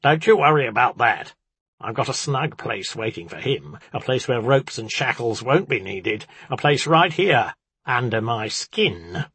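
Man doing a sly, husky, gloating rat-spirit. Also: mid-range voice, big pitch swings, measured pace, dry recording, full character voice. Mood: sinister gloating. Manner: chuckling, gloating, dark. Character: spiny male rat-spirit, sly, mocking and sadistic.

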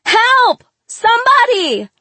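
A woman's voice: on the high side, sing-song, slow, slightly rough, no room sound, voice pushed up, exaggerated voice. A woman voicing a frightened, bullied schoolgirl in an audiobook, pleading and defensive. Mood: terrified.

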